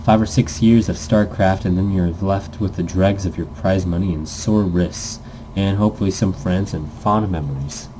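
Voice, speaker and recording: A man's voice: deep voice, normal speed, warm tone, a little hiss or hum, low-quality recording. A man casually reading a visual novel script aloud as narration, stumbling now and then and correcting himself. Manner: stumbles over words, corrects himself, jokey asides.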